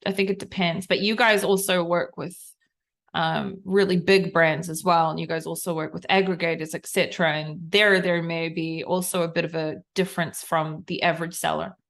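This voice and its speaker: A woman's voice: on the low side, a bit bright. A woman with a New Zealand accent hosting a business podcast, chatty and informal, thinking aloud as she talks. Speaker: podcast host. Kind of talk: casual business chat.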